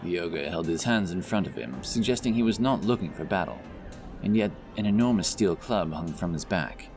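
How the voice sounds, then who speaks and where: Very low-voiced male storyteller. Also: animated, normal speed. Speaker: audiobook narrator. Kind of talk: scripted fantasy narration. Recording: narration over loud background music. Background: music, outdoor sound effects.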